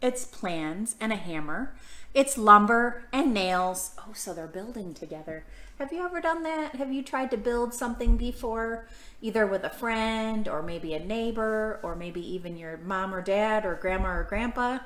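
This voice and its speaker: A woman hosting a children's storytime, reading a picture book aloud and chatting to young listeners with gentle questions. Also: mid-range voice, lively intonation, normal speed. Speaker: children's storytime host and librarian. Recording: echoey room sound.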